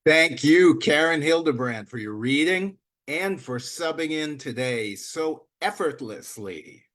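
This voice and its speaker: Slow-spoken male host introducing readers. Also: fairly high pitch, expressive, fairly bright tone. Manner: formal, list-like introductions. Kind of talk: introducing readers at a live event.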